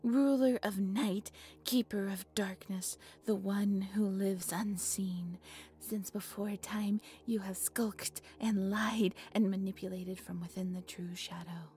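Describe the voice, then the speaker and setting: A woman acting a devoted, breathy cult priestess. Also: mid-range voice, fairly even intonation, slow, warm tone. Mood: solemn incantation. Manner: breathy, reverent, theatrical incantation with nervous stammers. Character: devoted, obsessive young female cult leader worshipping dark master. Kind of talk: scripted roleplay audio drama.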